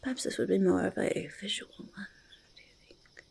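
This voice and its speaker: A woman voicing a quirky, stubborn goblin who loves shiny treasures, in a halting, breathy, creaky ASMR whisper, speaking in third person. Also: mid-range voice, darkish tone, full character voice. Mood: soft fascination. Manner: halting, childlike third-person speech, easily argumentative. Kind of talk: soft-spoken ASMR roleplay, mostly whispered.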